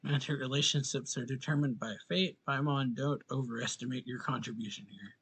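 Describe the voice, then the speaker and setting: A woman streaming a game, reading dialogue aloud and muttering reactions in a dry, low-key way. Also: fairly quick, a little hiss or hum, low-quality recording.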